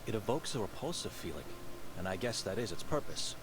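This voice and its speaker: Pious anxious monk, low voice, solemn game narration, measured formal wording, noisy backdrop. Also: male voice, sing-song. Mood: uneasy, observing. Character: pious, shy, anxious monk investigating his sister's disappearance.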